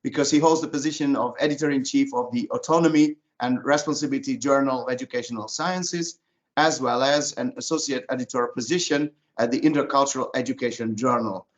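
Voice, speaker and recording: A man moderating a webinar, introducing the next speaker in a halting way with frequent fillers. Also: mid-range voice. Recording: muffled video call audio.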